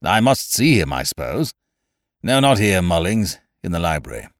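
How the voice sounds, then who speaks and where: A man's voice: deep voice, sing-song, moderate pace, dry recording, studio quality, pitched below the performer's own voice. Man as a flustered, curt English lord. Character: distracted English nobleman, lordly and flustered.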